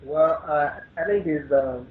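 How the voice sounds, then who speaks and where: A man calling in, searching for words with many pauses and fillers. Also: a little high, roomy sound, narrow, tinny audio. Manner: slow, filler-heavy. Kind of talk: caller in a chat.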